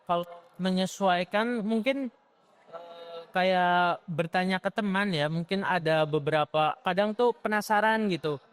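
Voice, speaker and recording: Young guy w a javanese accent, kinda unsure, lots of ums, high smooth voice. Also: unhurried. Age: young adult. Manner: hesitant, many fillers, unsure. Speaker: student interviewee. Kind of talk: casual group interview.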